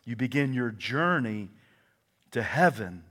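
Southern preacher, hush to shout. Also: male voice, mid-range voice, slow. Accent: American Southern. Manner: swings from hushed asides to shouted proclamation; animated storytelling with quoted voices. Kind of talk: sermon.

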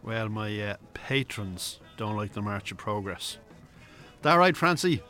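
An actor playing a chatty, tactful barman in a quiet pub, hesitant and familiar, in naturalistic radio drama. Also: lowish voice, expressive, measured pace, faint music behind. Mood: affable, tactful.

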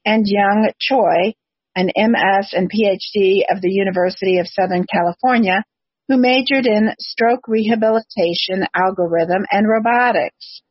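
A woman's voice: mid-range voice, normal speed, breathy edge, no room sound. A woman hosting an interview podcast, moving between scripted introductions and questions for a guest. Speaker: podcast host. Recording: dull, lo-fi, slightly muffled audio.

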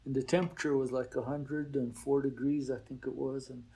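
An older man, a boarding-school teacher, talking in a relaxed, reflective way in an interview, with frequent "you know" fillers. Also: mid-range voice, fairly quick, poor audio. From Oklahoma.

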